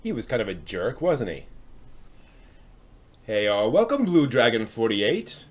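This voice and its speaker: Male streamer, mid-range and lively, casual halting chat with viewers as host. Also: medium pitch. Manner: conversational, halting, reads out chat comments.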